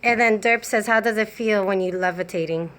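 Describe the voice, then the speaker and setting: A woman's voice: mid-range voice, a little fast, a bit bright. A woman helping on a live stream, casually chatting and reading viewers' comments aloud.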